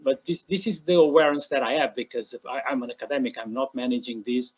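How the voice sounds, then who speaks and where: A man from Portugal answers a question on a video call, his voice husky and a little rough. He stops and starts again often and fills the gaps with fillers. The sound is dull and lo-fi. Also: medium pitch, fast. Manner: hesitant, many restarts and fillers. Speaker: academic presenter. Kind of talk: online Q&A answer.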